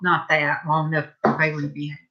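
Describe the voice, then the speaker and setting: A middle-aged woman with a Southern US accent, chatting to her viewers at home in a loose, rambling way. Her voice sits on the low side. The recording sounds dull and lo-fi. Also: monotone, fast. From Southern California. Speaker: vlogger. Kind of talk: casual chat while painting. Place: home, indoors.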